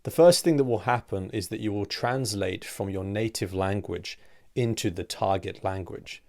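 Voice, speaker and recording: A man teaching English in a clear, deliberate scripted lesson, asking rhetorical questions and trailing softer at the ends of phrases. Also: fairly low pitch.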